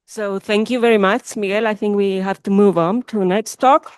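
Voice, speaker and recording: A woman chairing a conference session, introducing speakers and taking questions, slow and hesitant with fillers. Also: medium pitch, fairly even intonation, darkish tone, clear, resonant tone, no echo, clean studio sound.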